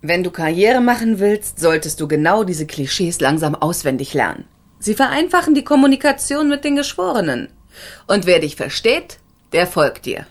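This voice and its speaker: An actress playing a sharp-witted, outspoken professional woman in a radio crime drama, articulate and pointed. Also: lowish voice, big pitch swings, moderate pace. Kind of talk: German radio drama acting.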